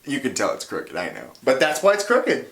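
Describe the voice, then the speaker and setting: Young man, goofy self-mocking storyteller, roomy room, a bit distant from the mic, soft piano behind. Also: medium pitch, wildly swooping pitch, brisk. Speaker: vlogger telling a childhood story. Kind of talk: casual storytelling. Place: at home doing laundry.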